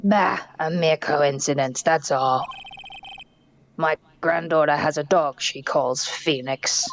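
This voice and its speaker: A woman's voice: deep voice, slow pace, a little hiss or hum. A woman voicing a haughty, scornful older male prosecutor with a pompous, taunting delivery in a game dub. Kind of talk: amateur video game dub.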